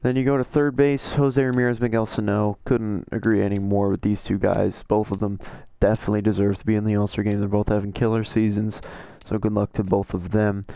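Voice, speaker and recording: A man with a hoarse, slightly worn-out voice gives casual, rambling commentary as a YouTube creator, often correcting himself mid-sentence as he shares his opinions. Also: lowish voice, dark tone, no room sound. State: hoarse, voice a little shot. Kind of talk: casual commentary over an on-screen roster.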